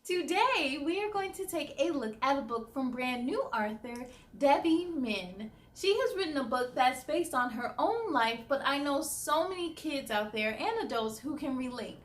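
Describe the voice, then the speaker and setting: A woman hosting a children's story time, reading a picture book aloud in an expressive, sing-song way and chatting to young listeners. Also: high-pitched, normal speed, few pauses.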